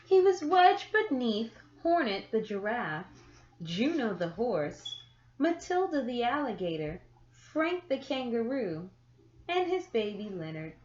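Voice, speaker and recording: A woman reading a children's picture book aloud, with deliberate, expressive phrasing and drawn-out words for young listeners. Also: fairly high pitch. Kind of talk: children's story read-aloud. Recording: echoey room, slightly muffled sound.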